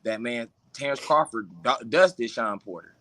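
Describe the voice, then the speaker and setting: A man co-hosting a casual boxing talk show, giving blunt, opinionated takes in relaxed slang. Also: measured pace, no echo. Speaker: co-host guest. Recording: lower-quality dry mic.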